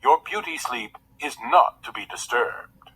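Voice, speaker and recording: A man's voice: medium pitch, lively intonation, bright, forceful tone, slightly rough, heavily acted, low-quality recording. Man acting a stiff, formal royal valet. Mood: apologetic. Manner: stiff, deferential, measured.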